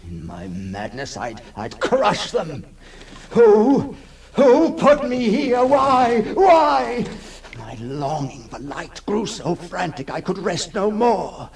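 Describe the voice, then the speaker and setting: An actor playing a haunted, lonely male outcast in a halting, theatrical 1960s radio drama monologue, intense and tormented. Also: high-pitched, huge pitch swings, darkish tone, full character voice. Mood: anguished, frantic. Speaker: actor narrating as the character. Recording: noisy 1960s radio recording.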